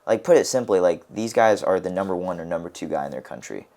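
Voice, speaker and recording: A man's voice: deep voice, expressive, quick pace, warm tone. A young American man co-hosting a casual podcast, telling rambling stories full of "like" and restarts. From United States. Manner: rambling storyteller, heavy on "like". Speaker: podcast co-host and wrestler.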